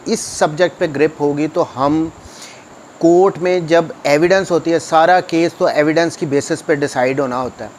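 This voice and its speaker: A man's voice: fairly high pitch, a little hiss or hum. A man with a Pakistani accent giving an explanatory law lecture in a didactic way, repeating key points for emphasis. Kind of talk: explanatory law lecture, reading statutes and paraphrasing them.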